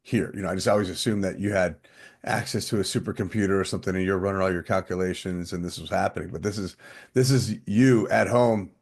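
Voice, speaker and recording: A man hosts a podcast. He asks his questions in a relaxed, conversational way and answers his guest with short acknowledgments. His voice sits on the low side. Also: gravelly, breathy edge. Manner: relaxed, quick backchannels, brief laughs. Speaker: podcast host. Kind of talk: casual interview questions.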